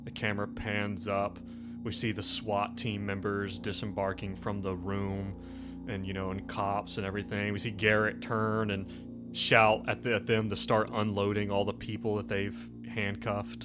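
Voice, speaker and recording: A man's voice: fairly low pitch, flat delivery, a little slow. A man hosting a tabletop horror role-playing show as game master, narrating scenes and chatting casually. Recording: music playing behind the voice. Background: music.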